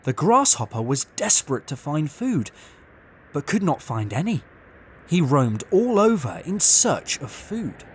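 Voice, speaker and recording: A storyteller narrating a scripted children's tale in an expressive, deliberate way. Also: medium pitch, slight background noise.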